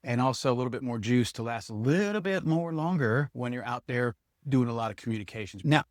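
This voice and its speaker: A man's voice: mid-range voice, lively intonation, brisk, no room sound, studio quality. Casual man reviewing gear. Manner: informal, thinking aloud while demonstrating. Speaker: product reviewer. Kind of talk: casual hands-on product review, speaking to viewers.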